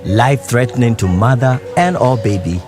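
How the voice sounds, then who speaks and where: A man giving scripted documentary narration in a measured, expressive way. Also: mid-range voice. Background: soft music.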